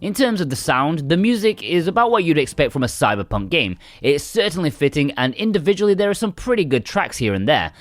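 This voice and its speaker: Man reviewing games, lively dry-room narration. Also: fairly high pitch, a little fast, hardly any pauses, dry recording. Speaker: video game reviewer.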